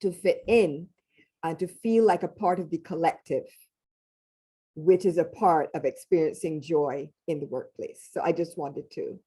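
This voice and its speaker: Woman, West Indian accent, emphatic, animated, slightly low voice. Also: measured pace, slightly rough. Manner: animated, emphatic, laughs while talking. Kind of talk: panel discussion.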